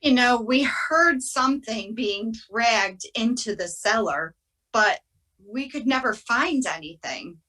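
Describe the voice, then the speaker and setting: A woman acts out an earnest nineteenth-century housewife in an amateur play. She speaks very slowly, with a raised pitch and an expressive, moving melody. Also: heavily acted, low-quality recording. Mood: troubled. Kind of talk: amateur stage play reading.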